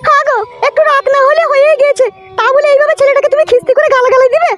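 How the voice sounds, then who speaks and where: A woman voicing a protective, reproachful village mother in a comedy cartoon, with a shrill pitched-up voice. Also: steady tone, racing.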